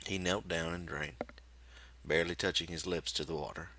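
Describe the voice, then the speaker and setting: A man reading literary fiction aloud as an audiobook narrator, with measured, deliberate phrasing. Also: sits low, steady tone, pushed and bright, dry recording, poor audio. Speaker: audiobook reader.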